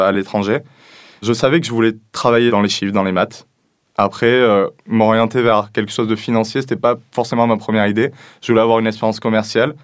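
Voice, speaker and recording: A man's voice: lowish voice, dry recording, clean studio sound. A man, talking informally about himself in an interview. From southern France. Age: 20s. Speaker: business school student interviewee.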